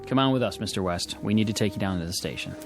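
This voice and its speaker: Police detective for a male actor: businesslike, fast questioning, fairly low voice, radio drama. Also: music in the background, lightly acted. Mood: firm.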